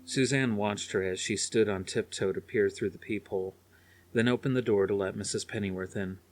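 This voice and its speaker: A man narrates a novel in a fairly low voice. The delivery is scripted audiobook storytelling, reading prose descriptions. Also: steady tone, a little fast.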